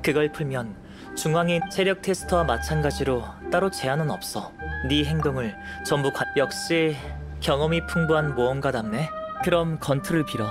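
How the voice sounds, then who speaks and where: Casting: male actor as young scholar-alchemist for a game, slightly high voice, calm, curious and articulate, music underneath. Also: natural voice. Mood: calm, assured. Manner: measured, composed, articulate. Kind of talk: scripted game dialogue. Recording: music behind the voice.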